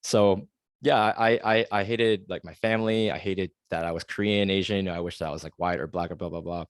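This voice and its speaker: A man's voice: deep voice, fairly even intonation, a little fast, clean tone, no room sound. A man in his thirties from the United States, hosting a solo podcast and talking earnestly and confessionally, with lots of fillers and restarts. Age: 30s. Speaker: podcast host. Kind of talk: solo reflective podcast monologue.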